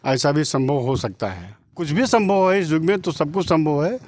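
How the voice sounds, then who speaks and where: Insistent middle-aged man, higher-pitched, dry sound. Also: expressive, moderate pace, a little mellow, no echo. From Uttar Pradesh, India. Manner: insistent, argues point by point, repetitive. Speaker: relative of the victim being interviewed. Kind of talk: interview testimony.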